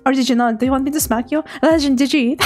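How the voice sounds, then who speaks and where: A young woman streaming as a VTuber, chatting casually with her viewers and reading their messages in a giggly, playful, animated way. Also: sits high, quick pace, soft background music.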